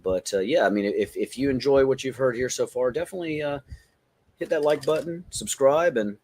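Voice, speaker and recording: A man's voice: medium pitch, brisk, darkish tone. A middle-aged man hosting a podcast, chatting casually about toys in an informal, joking way. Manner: jokey, informal, swearing. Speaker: podcast host.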